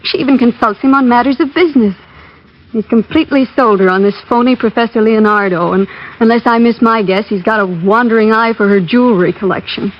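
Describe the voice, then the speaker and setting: A high, refined voice of a proper young heiress, speaking earnestly and a touch primly, as an actress plays her. The recording is muffled like an old radio broadcast with faint music. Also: a little fast, close to an everyday voice. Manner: refined, earnest, a little prim. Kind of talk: 1940s radio acting.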